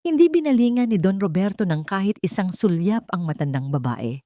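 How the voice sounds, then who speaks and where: A woman's voice: medium pitch, big pitch swings, fairly quick, dark tone, no room sound, clean studio sound. A woman with a Filipino accent reading a dramatic scripted story as an audio narrator.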